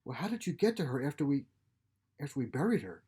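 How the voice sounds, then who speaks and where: Sardonic young woman on the run, sci-fi audiobook male narrator acting her; mellow, husky. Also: on the high side, very animated, fast talker, heavily acted. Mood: wary. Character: anxious, sharp-tongued young female fugitive in space.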